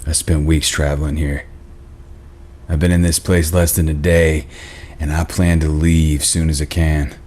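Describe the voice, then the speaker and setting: A low, deep male voice from an actor as a western drifter gunslinger. He speaks in clipped, guarded lines with a cool threat underneath, as in a screenplay table read. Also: little pitch movement, a little slow, mellow, a little hiss or hum. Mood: cold warning. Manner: laconic, guarded, coolly threatening.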